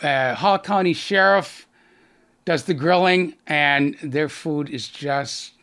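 A man's voice: on the high side, slow, fairly bright tone. A middle-aged man with a Boston accent, a guest on a business radio show, talking earnestly with many restarts and fillers. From Boston area, USA. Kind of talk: radio interview.

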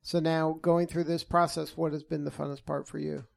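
Gravelly-voiced curious interviewer. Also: medium pitch, level tone, moderate pace. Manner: chatty, curious, laughs often. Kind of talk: interview questions.

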